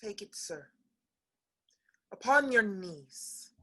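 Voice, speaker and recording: A woman's voice: medium pitch, expressive, very slow, sharp tone, exaggerated voice. A woman playing a gracious, romantic young noblewoman heroine in a theatrical period comedy. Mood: regal.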